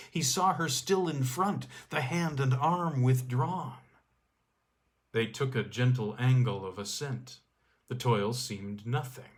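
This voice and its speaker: A man reading a literary story aloud as a storyteller, with deliberate phrasing and dramatic pauses. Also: medium pitch. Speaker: storyteller, audiobook reader.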